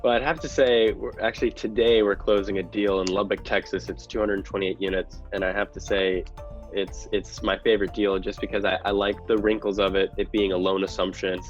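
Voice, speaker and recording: A deep-voiced man talks through his answers like an analyst being interviewed. The sound is a little dull, with faint music behind him. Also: flat delivery, fairly quick. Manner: analytical, explanatory. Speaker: podcast guest, real estate investor.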